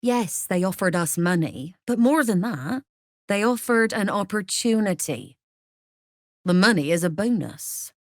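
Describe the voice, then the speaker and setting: A woman's voice: medium pitch, no room sound, clean studio sound. A woman playing a shrewd, mercenary crime boss in a video game, wry and self-assured with cool menace. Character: shrewd, mercenary female Zhentarim smuggler boss, threatening and wry. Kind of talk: video game character voice lines.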